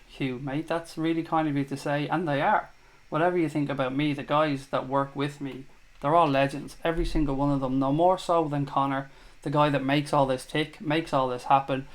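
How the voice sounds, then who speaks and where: A man hosting a live fan chat show, reading viewer comments aloud and answering them in a blunt, jokey, sweary way. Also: a little high.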